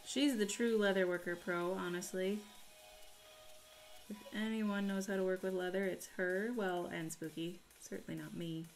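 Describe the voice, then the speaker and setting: A woman's voice: on the low side, fairly even intonation, normal speed, soft background music, rough recording. A woman casually chatting with her live-stream audience while crafting, playful and teasing as she reads chat. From United States.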